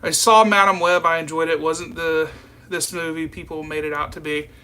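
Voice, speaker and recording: A man's voice: fairly high pitch, steady tone, moderate pace, bright, forceful tone, clear, resonant tone, poor audio. A man, chatting casually and informally as he hosts a live stream and answers viewer comments. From southern United States. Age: early 30s. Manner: informal, rambling, addressing viewers as "you guys".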